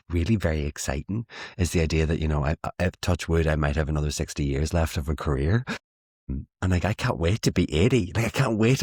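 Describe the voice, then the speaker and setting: Casting: young Irish playwright, very low husky voice, self-mocking storyteller, dry close sound. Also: male voice, no echo. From Ireland. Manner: self-deprecating, animated storytelling with laughs. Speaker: playwright guest. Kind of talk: interview conversation.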